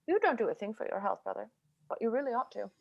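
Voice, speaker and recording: A woman's voice: fairly high pitch, expressive, fast, creaky, dull audio. A woman playing a vain, fretful middle-aged stage actress in a play reading on a video call, theatrical in manner. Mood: exasperated.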